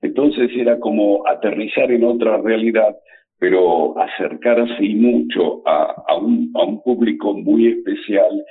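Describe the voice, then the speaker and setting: A man's voice: fairly low pitch, a little slow, slightly rough. An older man with a Buenos Aires accent, a radio announcer reminiscing in conversational interview style. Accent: Buenos Aires Argentine. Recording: muffled radio sound, music behind.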